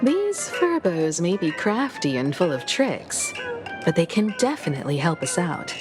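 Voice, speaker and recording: A woman voicing a composed young woman ally in a game, calm, amused and persuasive. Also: on the low side, unhurried, over music.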